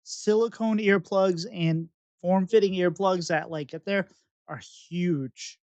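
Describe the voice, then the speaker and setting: A male podcast host with a high, light voice, who throws out teasing questions and keeps the chat loose. His sound is dull and lo-fi, close and dry. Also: fairly even intonation, slow, darkish tone, no echo.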